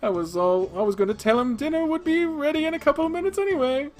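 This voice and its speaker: A man voicing a sweet, doting middle-aged mother who fusses and gently bosses. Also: very high voice, animated, quick pace, faint music behind, pitched above the performer's own voice. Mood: doting, cheerful. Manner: honeyed, fussing.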